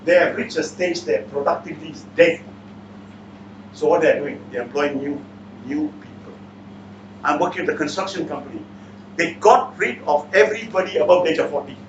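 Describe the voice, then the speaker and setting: A man's voice: fairly high pitch, brisk. A man with a Malaysian or Singaporean accent giving a motivational seminar talk, bluntly challenging his listeners with questions. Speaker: seminar speaker. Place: indoor seminar room. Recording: echoey room, distant mic, muffled, faint noise.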